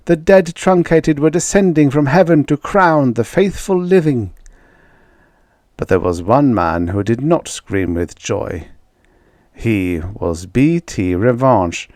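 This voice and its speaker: A man with a fairly low voice narrates a science fiction audiobook. His delivery is measured and dramatic, leaning on key words for emphasis. The sound is dry and close, with little room in it. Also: darkish tone, no room sound. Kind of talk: scripted narration of vintage science fiction stories.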